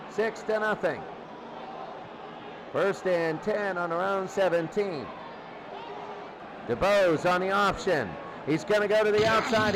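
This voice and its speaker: An American man calling a football game play by play as a live radio announcer. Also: sits high. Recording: noisy game broadcast with crowd roar. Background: loud stadium crowd.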